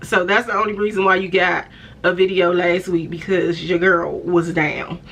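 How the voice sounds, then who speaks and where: A woman in her forties from the Washington area, talking to camera in a relaxed, chatty way with lots of fillers and laughs, her voice slightly raspy and stuffy after an illness. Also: fairly low pitch, normal speed, slight background noise. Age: 40s. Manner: chatty, affectionate, many fillers, laughs often. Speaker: fashion vlogger. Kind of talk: casual talk to camera.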